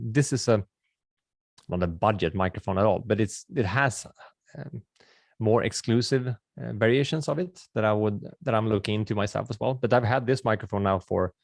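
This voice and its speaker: A man's voice: medium pitch, creaky, darkish tone, no echo, studio quality. A man from Sweden with a Swedish accent, hosting a webinar and chatting casually as he answers viewer questions, often restarting his sentences. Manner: friendly and chatty, with frequent restarts and filler words.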